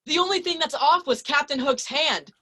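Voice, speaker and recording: A woman plays a boastful young boy who insists on his own greatness. The voice sits very high and bright, with a sharp, clear ring. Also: moderate pace. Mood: insistent. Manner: brash, bragging, insistent. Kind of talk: amateur theatre acting.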